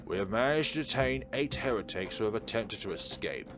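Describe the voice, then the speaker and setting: A man with a fairly low voice plays through a visual novel. He reads the narration aloud and breaks in with offhand commentary of his own. Game music is clearly present behind him. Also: expressive, brisk. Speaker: gaming video host. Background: prominent game music.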